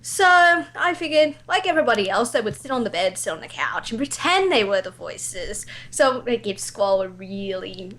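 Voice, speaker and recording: A woman's voice: mid-range voice, big pitch swings, normal speed, few pauses, a bit bright. A young adult woman with an Australian accent, telling anecdotes casually as an interview guest in a dramatizing way. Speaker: amateur voice actor, interview guest.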